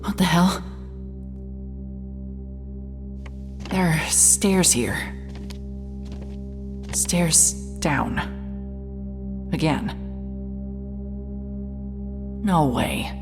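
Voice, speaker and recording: An actress playing a hard-bitten, wary private investigator, terse and sardonic, in a horror audio drama with noir-style narration. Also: female voice, sits low, animated, very slow, pauses often, over music, full character voice. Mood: uneasy, frustrated. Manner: muttering to self, wry, terse. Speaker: lead character and narrator.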